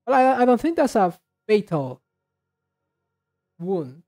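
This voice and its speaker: A reaction video host giving casual commentary, halting and repeating words while thinking aloud. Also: mid-range voice, big pitch swings, darkish tone, faint music behind.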